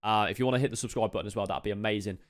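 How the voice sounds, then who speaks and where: A man casually narrating a video game loot walkthrough, chatty and upbeat, a little chesty with the odd cough. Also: lowish voice. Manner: chatty, upbeat, quick asides to viewers. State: slightly chesty, occasional cough. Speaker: game streamer giving a loot guide.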